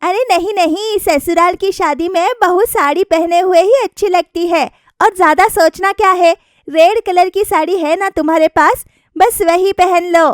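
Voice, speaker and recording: A woman's voice: very high-pitched, fairly even intonation, normal speed, no room sound, clean studio sound, voice pushed up. A woman voicing a bossy, unimpressed middle-aged mother-in-law in a story, brusque and instructing.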